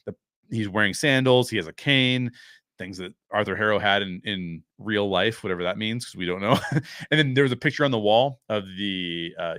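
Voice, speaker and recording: Casting: male show host, lowish voice, conversational and thinking aloud, very dry recording. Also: bright, forceful tone, no echo. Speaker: host of a pop culture review show. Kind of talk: casual solo commentary to viewers.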